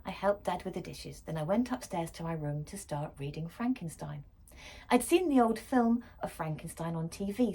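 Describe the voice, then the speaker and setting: A woman's voice: on the low side, dark tone, husky. A woman reading a children's story aloud expressively, as for a school library.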